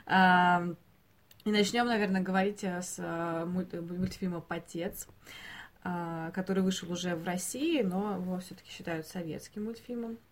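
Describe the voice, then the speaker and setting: Female podcast host, lower register, unscripted and hesitant, discussion style. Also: fairly even intonation, moderate pace. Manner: hesitant, searching for words.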